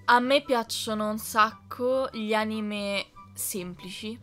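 A young woman answering questions in a casual, playful and expressive chat. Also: a little high, slow pace, soft background music. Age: young adult. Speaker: dubbing content creator answering fan questions.

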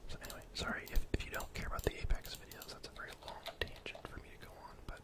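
Young man in a breathy whisper. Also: rapid-fire. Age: young adult. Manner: casual, rambling, thinking aloud. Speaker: gaming ASMR streamer. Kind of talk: whispered ASMR gameplay commentary.

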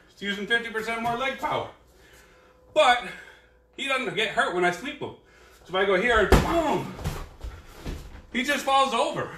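A man's voice: high-pitched, sharp tone, slight background noise. A man teaching an online karate class, counting drills aloud and explaining self-defense with an easygoing, joking manner. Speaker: karate instructor. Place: dojo training room. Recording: echoey room, voice from far off.

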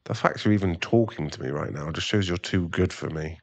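Guy acting as a sorry werewolf boyfriend for an asmr audio, lowish voice, soft and stammery, really close dry sound. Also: gravelly, no room sound. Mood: remorseful, earnest. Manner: halting, stammering, soft-spoken apology. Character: remorseful werewolf boyfriend and expectant father, apologetic, tender. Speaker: ASMR roleplay performer.

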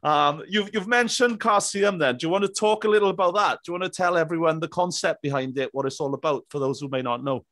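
A man's voice: on the high side, quick pace, hardly any pauses, fairly bright tone. A man in his thirties hosting a casual interview in an easygoing, chuckly way. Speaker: podcast host, interviewer. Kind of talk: casual chat. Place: small indoor room, video call. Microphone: boom-arm microphone with headphones.

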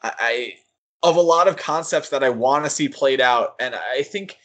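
A man co-hosting a podcast, giving casual critical commentary in a halting, thinking-aloud way. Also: medium pitch, a bit bright. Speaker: podcast co-host. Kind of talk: casual critical comics discussion.

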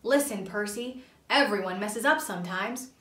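A woman's voice: medium pitch, moderate pace, a bit bright, echoey room, distant mic, close to an everyday voice. A woman voicing a patient, sensible male vegetable police partner in a storybook, speaking earnestly and instructively.

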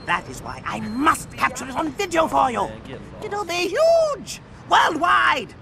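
Game role: flamboyant huckster, bombastic and desperately hip, creaky high voice. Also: male voice, huge pitch swings, a little slow, a loud crowd, heavily acted. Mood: smug, hyped. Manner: bombastic, forced hip slang. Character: smarmy, flamboyant British skate-video director, desperately trendy. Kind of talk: video game cutscene acting. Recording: loud music behind the voice.

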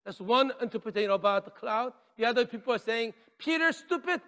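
A middle-aged man preaching on a church stage, gesturing widely and swinging from loud declarations to quiet near-whispers, in English with dropped articles. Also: extremely high pitch, expressive, fairly quick. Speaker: pastor. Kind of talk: sermon. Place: church stage with drums and podium. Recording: long reverb of a church hall.

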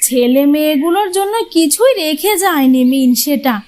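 A woman's voice: high voice, expressive, normal speed, darkish tone. A woman voicing a bitter, self-pitying elder co-wife in a village cartoon, complaining and dismissive. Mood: bitter, grumbling. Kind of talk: Bengali moral-tale cartoon dubbing.

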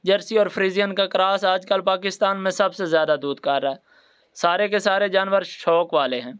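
Man, Pakistani accent, clean resonant tone, high register, promotional narration, repetitive sales patter. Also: fairly even intonation, a little fast, a bit bright, clean tone. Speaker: livestock sales presenter.

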